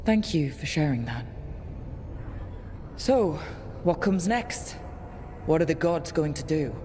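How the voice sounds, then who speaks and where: A performer playing a steady, perceptive team leader in a fantasy video game, speaking directly and conversationally. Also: mid-range voice, slow pace, a little hiss or hum. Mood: sincere, curious. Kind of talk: naturalistic video game acting.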